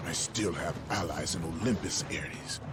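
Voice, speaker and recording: An actor playing a grim, tormented Greek warrior in a video game, gruff, terse and intense, with music behind him. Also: male voice, low-pitched, measured pace, very noisy. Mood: defiant anger. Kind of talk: dramatic video game acting. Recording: loud music under the voice.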